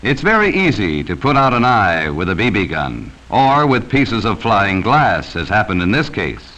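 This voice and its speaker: A man's voice: on the low side, wildly swooping pitch, normal speed, pushed and bright. An American man narrating a 1940s educational safety film from a script, speaking sternly and cautioning children directly, on a vintage film soundtrack.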